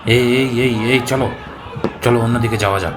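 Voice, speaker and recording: An actor playing an easy-going, reassuring gentleman, a seasoned professional, in a dramatised audio story. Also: mid-range voice, fairly even intonation, a crowd in the background, background noise, lightly acted. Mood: uneasy, urging. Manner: unhurried, reassuring.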